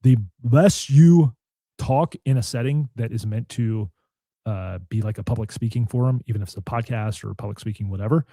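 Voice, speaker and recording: Casual podcast host, young man, deep and warm, meandering delivery. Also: moderate pace, dry recording. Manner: rambling, filler-heavy, frequent "like". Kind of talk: casual chat.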